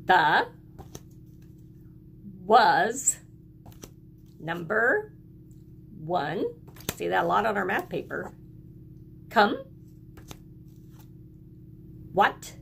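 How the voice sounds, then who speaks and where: A woman's voice: medium pitch, very animated, very slow, creaky, pauses often, a bit bright, a little hiss or hum. A woman teacher giving a lesson to young children, encouraging them in simple phrases and prompting them to repeat after her. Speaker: early elementary school teacher.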